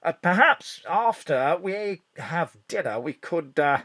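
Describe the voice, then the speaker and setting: A performer playing a flirtatious spy chief, suggestive and teasing. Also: male voice, on the high side, big pitch swings, slow pace, pushed and bright, heavily acted. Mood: flirty. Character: flirtatious male spy chief, roused from sleep.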